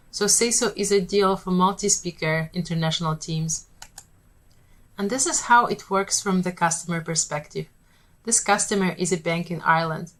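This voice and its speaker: A woman with a Polish accent, a startup founder giving a rehearsed pitch in a measured, steady way.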